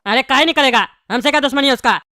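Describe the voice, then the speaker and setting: A high-voiced performer playing a hot-tempered, scheming bald father in jail, scolding in Bihari-style Hindi for a comedy cartoon. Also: rapid-fire, pushed and bright, no room sound, shouting, studio quality. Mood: irritated. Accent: eastern Indian (Bihari-style) Hindi. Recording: clearly noisy background.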